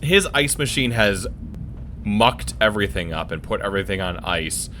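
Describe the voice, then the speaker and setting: A man's voice: fairly low pitch, lively intonation, moderate pace, sharp tone, a little hiss or hum. A man hosting a casual let's play, talking in a folksy, jokey way into a close mic. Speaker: host of gaming videos.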